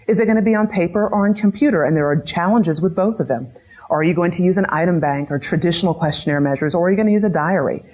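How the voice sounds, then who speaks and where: A woman's voice: deep voice, a little mellow, breathy edge. A woman giving an academic lecture, methodically posing a string of questions to her audience. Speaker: lecturer on patient-reported outcomes. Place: lecture room.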